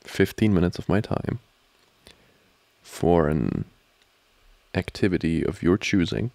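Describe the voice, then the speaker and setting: A man with a low, heavily creaky voice plays a flustered studying boyfriend in an ASMR roleplay. He talks slowly and hesitantly, close to the mic, with pitch that rises and dips often. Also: sing-song, darkish tone, no room sound. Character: flustered, distracted studying boyfriend, teasing and affectionate. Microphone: close microphone, dry.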